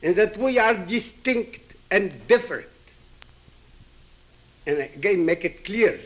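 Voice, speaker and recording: A man's voice: high-pitched, expressive, slow pace. A man in his seventies from Ukraine, a native Hebrew and Yiddish speaker, giving a reflective public address in an emphatic way, with rhetorical questions and repetition. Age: 70s.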